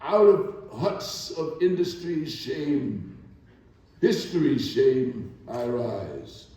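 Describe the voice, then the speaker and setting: An older man giving a theatrical reading to a live gathering, with deliberate, declamatory phrasing. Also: medium pitch, big pitch swings, warm tone, distant mic. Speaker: actor performing a dramatic reading. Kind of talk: theatrical poetry recital and spoken presentation. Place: echoing indoor hall, live performance. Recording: very echoey hall, from afar.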